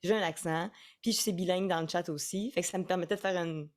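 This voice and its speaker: A woman's voice: on the low side, steady tone, fast talker, a little mellow. A young woman with a Quebec French accent, a singer-songwriter chatting casually in an interview and often restarting her words.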